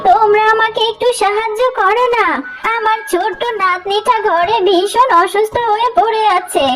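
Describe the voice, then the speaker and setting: A woman's voice: extremely high pitch, steady tone, normal speed, music in the background. A woman voicing an elderly grandmother jackal in a melodramatic cartoon, plaintive and wailing in her appeals. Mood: pleading, distressed. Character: elderly grandmother jackal, pleading, grieving, vengeful. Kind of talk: melodramatic cartoon story acting.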